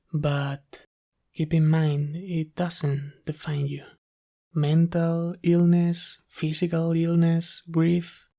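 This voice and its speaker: A man playing a gentle, caring sleep paralysis demon who soothes his human in a soft-spoken, reassuring ASMR roleplay. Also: fairly high pitch, gravelly. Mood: tender, reassuring.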